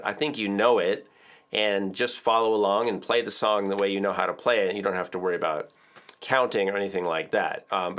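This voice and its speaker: Middle-aged male music teacher, slightly low voice, casual explaining tone, studio video lesson. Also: fairly bright tone. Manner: chatty, explains with filler words and counts aloud. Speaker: ukulele teacher. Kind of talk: relaxed video tutorial. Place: indoor studio with drums.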